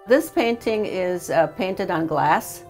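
Older lady, low gravelly voice, lots of uhs, chatting at home about her weaving. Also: slow pace, a little mellow, soft background music. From Croatia. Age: 70s. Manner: hesitant, many "uh" fillers, thoughtful. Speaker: weaver and retired teacher. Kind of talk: seated documentary interview. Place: home living room, indoors.

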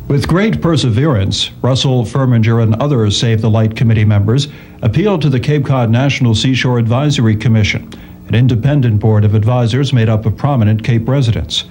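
A man's voice: mid-range voice, fairly quick, darkish tone, slight background noise. A man reading scripted narration as a documentary narrator.

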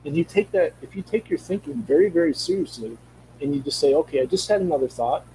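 Middle aged minnesota guy on a call, mellow low voice, keeps restarting, bit of hum. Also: lively intonation, fast, rough recording. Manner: rambling, searching for words, many restarts. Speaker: guest. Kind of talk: casual conversation. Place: home room. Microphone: studio mic on video call.

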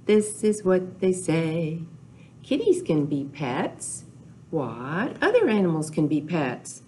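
Older woman, children's storytime host, very slow pace, animated sing-song delivery, simple repeated phrases, slight background noise. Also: low voice. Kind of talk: children's storytime with rhymes, counting and songs. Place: small indoor room with whiteboard.

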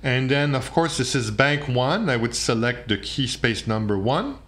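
A man presents an unscripted instructional demonstration, explaining step by step in a halting way. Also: medium pitch, lively intonation, fairly bright tone.

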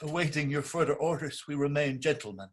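A man's voice: fairly high pitch, a little fast, lightly acted. An actor playing a meek, grovelling middle-aged man, pleading and theatrical, in a dramatic reading over a video call. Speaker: stage actor.

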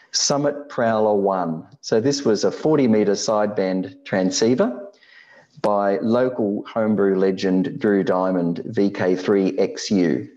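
Presenter, male, 60s, Australian. Low, relaxed voice, measured explanatory read, slightly lo-fi sound. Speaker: amateur radio presenter. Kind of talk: scripted slide presentation narration.

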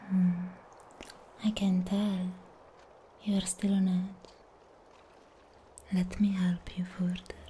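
Woman as serene anime sea guardian for ASMR roleplay: breathy whisper, very slow, mellow, soothing. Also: fairly low pitch, level tone, a little hiss or hum.

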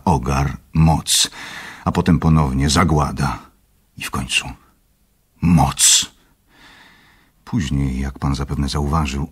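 A methodical doctor in a radio play. His voice is extremely deep and thoroughly husky. He speaks slowly and calmly, setting out each point with clinical deliberation. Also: full character voice. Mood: intrigued, analytical. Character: calm, methodical male doctor leading an experiment. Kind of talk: Polish radio play acting.

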